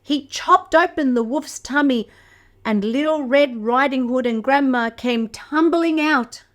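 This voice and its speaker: A woman reading a picture book aloud to toddlers as a storyteller, expressive and speaking directly to young listeners. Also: high voice, measured pace. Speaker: children's storyteller.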